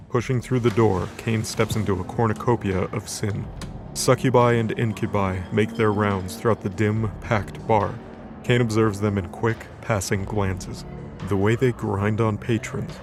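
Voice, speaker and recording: A man reading scripted, dramatic narration for an audio drama story. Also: fairly low pitch. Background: background music.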